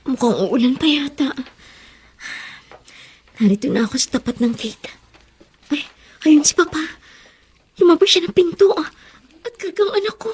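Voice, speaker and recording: A woman's voice: high-pitched, lively intonation, moderate pace, pauses often. A woman acting a desperate young mother and estranged daughter in a melodramatic Filipino radio drama, tearful and pleading. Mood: anxious pleading. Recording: music bed under the voice.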